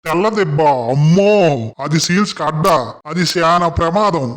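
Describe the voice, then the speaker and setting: A man voicing an anxious, loyal penguin sidekick in a children's cartoon, folksy and excitable. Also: on the high side, moderate pace, natural voice. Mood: worried. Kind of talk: children's animated story acting.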